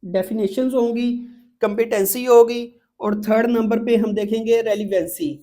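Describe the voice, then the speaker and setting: A man's voice: high-pitched, steady tone, normal speed, dark tone. Pakistani man teaching step by step. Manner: repetitive, checks in often with tag words. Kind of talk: exam-prep lecture, explaining step by step.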